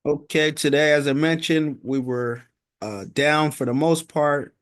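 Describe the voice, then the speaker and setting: A man's voice: a little high, steady tone, no echo. Man giving a dry-sounding informal market recap. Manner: halting and repetitive, punctuating points with "okay?". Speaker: host of a stock market recap. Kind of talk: informal stock market commentary.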